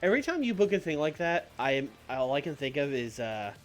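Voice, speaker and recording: Wry man with lively, swinging pitch. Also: fairly quick. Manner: wry, questioning. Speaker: streamer's co-host. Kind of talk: casual banter. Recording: background music under the voice. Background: chillhop video game music.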